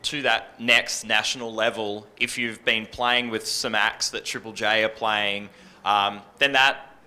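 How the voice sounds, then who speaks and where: A man's voice: on the low side, little pitch movement, measured pace, pushed and bright. A man in his thirties giving casual advice as a panellist into a handheld mic. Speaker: radio panellist. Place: indoor music venue, panel stage. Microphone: handheld microphone.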